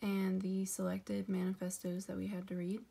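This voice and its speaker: A young woman presents her class project in a gravelly voice with plenty of vocal fry. Her pitch sits in the middle. She hesitates and hedges as she goes, filling gaps with ums. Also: completely flat delivery, moderate pace, mellow. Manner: hesitant, many ums and hedging words. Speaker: student presenter.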